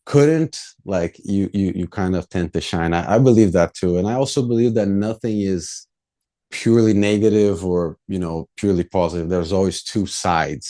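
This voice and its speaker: A young man from Brazil with a very low, mellow voice. He talks as a guest in a relaxed, reflective way, in casual interview chat. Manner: relaxed, reflective, laughs easily. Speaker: musician guest.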